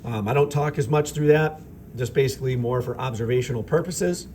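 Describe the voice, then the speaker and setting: A slightly raspy man, a chemistry teacher, narrating a lab demonstration off camera in a relaxed, explanatory way with fillers. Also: medium pitch, fairly quick, a little hiss or hum. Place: school chemistry lab with vents. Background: ventilation fans running.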